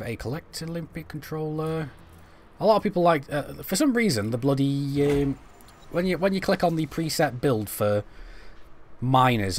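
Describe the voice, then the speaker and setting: Young british guy on a game stream, talks to chat while messing with menus, voice jumps up and down a lot. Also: on the high side. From Britain. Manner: rambling, self-deprecating, chats to viewers while fiddling with menus. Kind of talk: casual live gameplay commentary.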